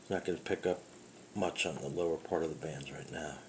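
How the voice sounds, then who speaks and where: A man casually walking through an old multiband radio at home in his kitchen, explaining its features in a relaxed, rambling way. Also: very deep voice, brisk, noisy background. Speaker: radio hobbyist reviewing a radio. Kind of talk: casual product walkthrough.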